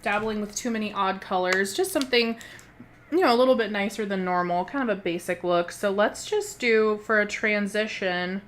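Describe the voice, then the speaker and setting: A woman beauty vlogger, hoarse from a cold, chatting casually and rambling with many ums while she does her makeup at home. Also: mid-range voice, normal speed, a little hiss or hum. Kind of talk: casual makeup tutorial chat.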